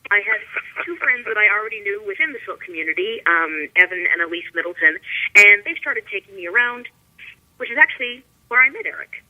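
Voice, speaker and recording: A woman's voice: mid-range voice, lively intonation, a little fast. A woman with a mid-range voice speaks over a narrow, muffled phone connection. She tells a personal story casually, as a guest on a call-in round table. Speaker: guest musician. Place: remote call into the show. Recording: muffled, tinny phone line.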